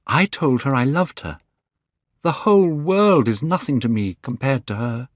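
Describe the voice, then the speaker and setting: A man voicing an eager, lovestruck young man in a spoken storybook, pitched high and gushing. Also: lively intonation, normal speed, no echo, clean studio sound, natural voice. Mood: excited, gushing.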